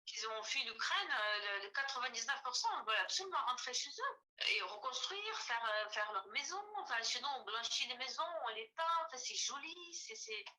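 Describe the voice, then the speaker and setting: A Ukrainian woman in her forties, a native Ukrainian speaker, talks on a video call in a very bright, fairly high voice. She keeps hesitating, with many fillers and restarts. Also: big pitch swings, moderate pace, low-quality recording. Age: 40s. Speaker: artist guest. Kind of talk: casual interview.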